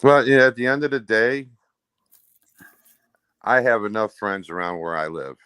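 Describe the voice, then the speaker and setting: A man's voice: lowish voice, animated, unhurried, fairly bright tone, clear, resonant tone, no room sound. A man being interviewed casually, explaining himself defensively in rambling, coarse everyday speech. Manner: rambling, peppered with "you know". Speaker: interview guest.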